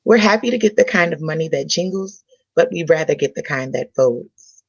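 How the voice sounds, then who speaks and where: A self-confident young woman with lively intonation, played by an actress in a comedy read. She is measured and polite, and the recording is a little dull. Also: low-pitched, husky, no room sound, heavily acted. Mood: earnest speechmaking. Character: poised, self-confident young woman, community event organizer. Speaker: actor in a table read.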